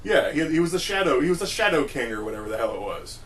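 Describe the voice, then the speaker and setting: A man's voice: on the high side, very animated, fast talker, fairly bright tone. A man co-hosting a podcast, casually discussing films and explaining his opinions, rephrasing as he goes. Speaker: podcast co-host. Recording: noticeable background noise.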